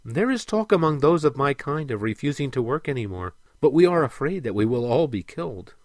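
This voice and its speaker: A man voicing a gentle, earnest female mermaid in a storybook, with soft, stately phrasing. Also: mid-range voice, sing-song, fairly quick, dry recording, studio quality, voice pushed up, natural voice. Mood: regretful, explaining.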